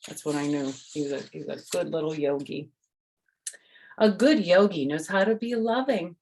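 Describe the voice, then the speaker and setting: A woman's voice: sits low, moderate pace, a little mellow, slight background noise, low-quality recording. An older woman reading a children's picture book aloud, speaking to young listeners and adding chatty personal asides.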